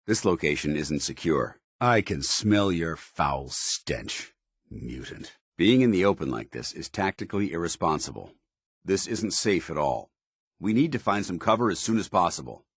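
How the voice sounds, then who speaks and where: Video game soldier: stern, disciplined, formal, low-pitched and husky, with varied intonation. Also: male voice, lively intonation, normal speed, no echo, studio quality, full character voice. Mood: contemptuous, then wary. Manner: formal, military, clipped. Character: stern, disciplined soldier in power armor, middle-aged man. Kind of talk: scripted video game dialogue.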